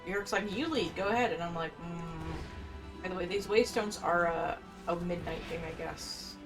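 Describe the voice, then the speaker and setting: A woman's voice: lowish voice, moderate pace. A woman giving casual gameplay commentary, rambling and thinking aloud as she plays. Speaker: gaming streamer. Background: video game music.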